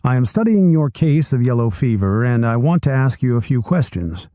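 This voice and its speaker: A man voicing an earnest older army doctor who questions a soldier in a formal, measured way. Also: mid-range voice, very animated, mellow, no echo, clean studio sound, lightly acted. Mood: probing.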